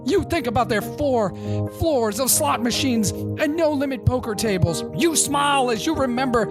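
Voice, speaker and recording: A woman's voice: sits high, lively intonation, normal speed. A woman telling a dramatic story as the narrator of a parody radio advertisement. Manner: dramatic, second-person narration. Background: loud guitar music.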